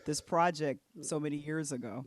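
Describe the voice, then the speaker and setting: A man's voice: a little high, normal speed, dry recording. A man hosting a casual panel interview, asking questions and sometimes reading from notes. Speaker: interview host.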